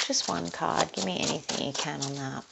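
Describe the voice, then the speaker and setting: A woman's voice: low voice, moderate pace, vocal fry, very noisy. Chatty Australian woman reading tarot cards. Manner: chatty, thinking aloud while drawing cards. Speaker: tarot reader. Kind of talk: casual tarot reading to camera. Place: indoors at a table, overhead camera on her hands.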